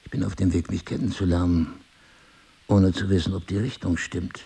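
An actor playing a middle-aged amnesiac husband in an audio drama, husky and gravelly, probing and dryly self-mocking. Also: male voice, low voice, little pitch movement, normal speed, mellow, full character voice. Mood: reflective, uneasy. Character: amnesiac husband, a crime writer, searching and wryly ironic. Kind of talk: intimate audio drama dialogue.

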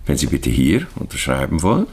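A man playing a discreet, evasive Swiss banker in a radio crime drama, fawningly polite, formal and hesitant, with a gravelly, husky voice. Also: very deep voice, wildly swooping pitch, brisk, darkish tone, slight background noise, full character voice. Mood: courteous, probing. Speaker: bank official.

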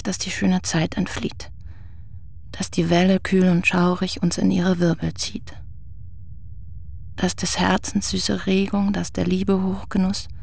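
An actress playing a grown daughter in a radio play, with a slightly creaky voice and a composed, measured, quietly firm manner. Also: female voice, lowish voice, heavily acted. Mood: reciting. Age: 30s. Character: grown daughter, calm and resolute.

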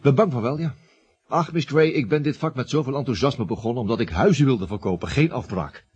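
A man acting in a Dutch radio play as an earnest young estate agent, wry and animated, hesitant when challenged. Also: mid-range voice, brisk, dull audio, noisy background, close to an everyday voice. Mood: aggrieved, sardonic. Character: earnest young estate agent, cheeky but meek before his boss.

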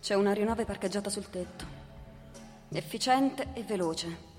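An actress playing a police chief's wary, resolute wife, herself a policewoman, in a radio drama, speaking in a measured, tense way. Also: medium pitch, a little mellow, lightly acted. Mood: controlled, serious. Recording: long hall reverb, music underneath. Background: music.

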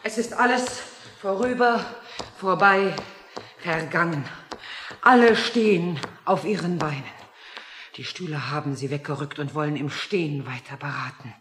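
Brisk woman with very low voice. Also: fairly bright tone. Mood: relieved, brisk. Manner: brisk, inquisitive, insistent. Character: brisk, commanding waitress-turned-interrogator in a satire. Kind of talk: satirical radio play acting.

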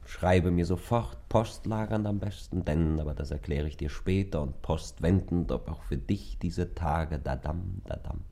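Husky, low-voiced brooding lover. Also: male voice, dark tone. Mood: wry, brooding. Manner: brooding, wry, halting delivery. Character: melancholy, detached lover about to leave, later frantic. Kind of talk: literary radio play acting.

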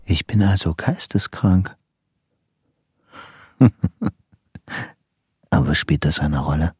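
A man's voice: rumbling low, takes it slowly, darkish tone, husky, heavily acted. An actor playing a deluded, lonely man in a radio play, chatting to an imagined sweetheart with murmured questioning tags, swinging from tender to curt. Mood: wry, unhinged.

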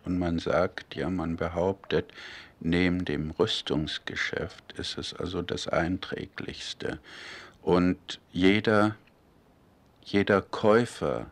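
A man working as an interviewer, phrasing his points and questions in a deliberate, explanatory way. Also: deep voice.

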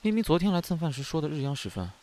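A man playing a lively, impulsive, easily huffy young man in an audio drama, expressive and blunt. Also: fairly high pitch, very fast, slight background noise. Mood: grumbling. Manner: expressive, blunt, playful grumbling. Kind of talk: Chinese audio drama acting.

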